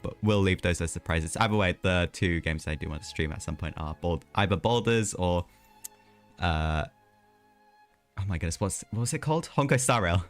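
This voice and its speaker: A man's voice: low voice, moderate pace, soft background music. A man live streaming a video game, chatting casually in a rambling way with hesitant asides.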